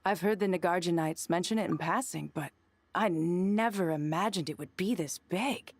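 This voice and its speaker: A woman's voice: fairly low pitch, normal speed. A woman playing an earnest traveling companion in a fantasy video game. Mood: awed.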